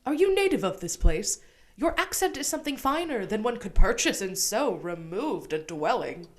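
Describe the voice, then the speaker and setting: A woman playing a quick-witted, earnest, lovesick young man in a Shakespearean radio play. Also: medium pitch, very animated, lightly acted. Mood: curious, earnest.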